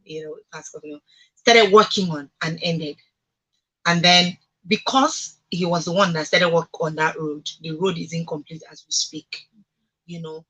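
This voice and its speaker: A woman's voice: on the low side, normal speed, a bit bright, slightly rough, rough recording. A woman with a Nigerian accent hosting a radio discussion, speaking emphatically and putting rhetorical questions to listeners. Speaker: radio talk show host.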